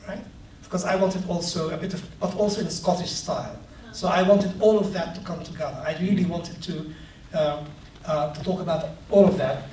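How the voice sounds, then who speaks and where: A man from Palestine talks about his book, his voice pitched a little high for a man. He hesitates and fills gaps with ums, then turns lively in his anecdotes. The room is echoey and the mic sits far away with a light hiss underneath. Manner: hesitant, many ums, animated when telling anecdotes. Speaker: author giving a talk. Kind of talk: reflective talk and storytelling. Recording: echoey room, distant mic, faint noise.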